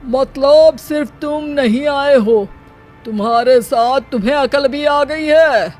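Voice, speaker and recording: A woman's voice: high voice, takes it slowly, a little mellow, soft background music. A woman voicing a bossy, jovial cartoon father-in-law with a teasing, commanding way of talking. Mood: approving, teasing.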